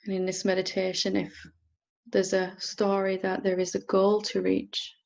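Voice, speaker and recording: A woman teacher leading a guided meditation in a gentle, unhurried manner with long pauses. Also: mid-range voice, completely flat delivery, vocal fry, lo-fi sound. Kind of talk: guided meditation, softly instructing.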